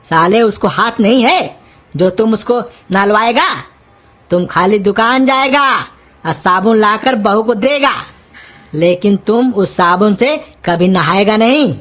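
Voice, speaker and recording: A woman's voice: medium pitch, a little slow, lightly acted. A woman voicing a cranky, scolding old cartoon uncle. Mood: bossy, suspicious. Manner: gruff, insulting, exasperated scolding. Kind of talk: cartoon comedy dialogue in rustic Hindi.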